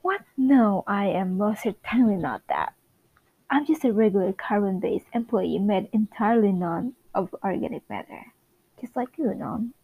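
A woman's voice: medium pitch, expressive, moderate pace, creaky, breathy edge, dry recording, narrow, tinny audio. A woman playing a polite, flustered male android office worker who speaks in formal, pedantic phrases. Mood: flustered, defensive.

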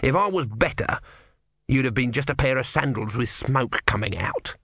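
A man's voice: mid-range voice, lively intonation, normal speed, fairly bright tone, husky, no echo, clean studio sound. A man voicing a grumpy small god trapped as a tortoise, evasive and sardonic, in a storybook reading. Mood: wretched.

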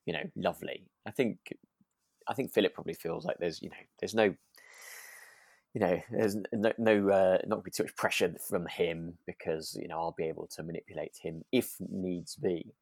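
Co-host for a relaxed review podcast: low-pitched voice, dry close sound, hesitant and rambling delivery. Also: normal speed, gravelly, dry recording. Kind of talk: casual chat reviewing a radio drama.

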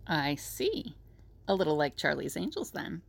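Gravelly, level-headed woman prisoner played by an actress. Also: low-pitched, sing-song, unhurried, full character voice. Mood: composed, planning. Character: level-headed woman prisoner leading a friendly escape plan. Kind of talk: audio drama acting.

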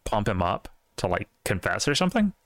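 A man co-hosting a podcast in casual chat, joking and animated, his voice jumping up when he gets excited. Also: brisk, dry recording, studio quality. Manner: animated, joking, jumps up in pitch. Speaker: podcast co-host.

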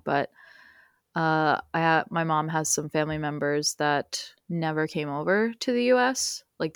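Young woman guest on a podcast, low voice, dry close sound, keeps saying like. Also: clean tone, no room sound. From United States. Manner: rambling, uses many fillers and "like". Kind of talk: casual conversational interview.

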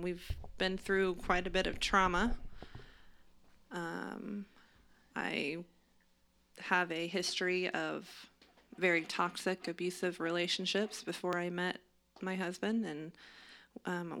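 A young woman with a somewhat low voice chats casually on a podcast from a home studio. She talks with fillers and drops the odd swear word. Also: sharp tone. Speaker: podcast co-host. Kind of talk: casual chat.

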